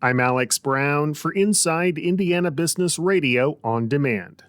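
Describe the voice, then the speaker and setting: A man's voice: a little high, unhurried, a little hiss or hum. An American man reading a scripted radio news bulletin as the anchor, close on a studio mic.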